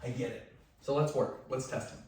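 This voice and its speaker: Dog trainer in a very echoey room. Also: male voice, mid-range voice, fairly even intonation, moderate pace. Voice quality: loud voice. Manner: instructive, conversational, drops to soft commands to the dog. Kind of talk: hands-on training demonstration. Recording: very echoey room, mic at a distance.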